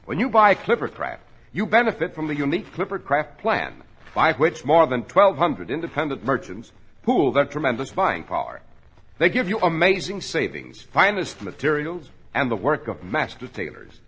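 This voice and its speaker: A man's voice: on the high side, huge pitch swings, normal speed, a bit bright, husky, rough recording. A man announcing and hosting in polished, emphatic 1940s radio style, reading scripted copy. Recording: old 1940s radio recording.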